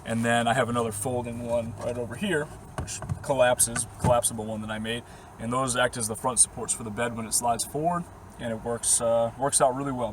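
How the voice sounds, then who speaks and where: A young man from the Boston area, slightly hoarse and losing his voice, chatting casually and rambling through viewer questions with fillers and short laughs inside his camper van. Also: medium pitch, a little hiss or hum. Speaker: vlogger answering viewer questions. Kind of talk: casual chat to camera.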